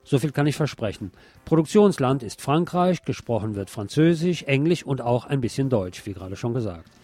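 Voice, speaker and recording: A man's voice: medium pitch, expressive, moderate pace, mellow, no room sound. A man delivering a scripted film review as a critic recommending a movie to listeners.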